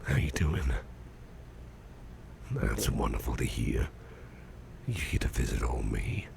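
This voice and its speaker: Very deep, gravelly whispering man as a caring boss. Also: animated, slight background noise, heavily acted. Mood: grateful, friendly. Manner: soft, slow, reassuring murmuring. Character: gentle, affectionate male boss, a businessman caring for you. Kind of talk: ASMR roleplay, soothing personal attention.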